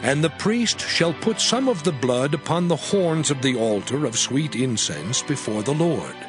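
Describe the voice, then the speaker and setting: A man's voice: medium pitch, sing-song, unhurried. A man giving a solemn, declamatory dramatized reading of scripture as an audio Bible narrator. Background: music under the voice.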